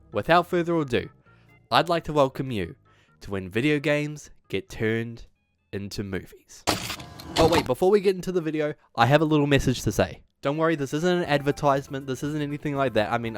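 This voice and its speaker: A man's voice: medium pitch, lively intonation, fairly quick, faint music behind. A man hosting a video essay, reading a script with emphatic delivery and dropping in casual asides.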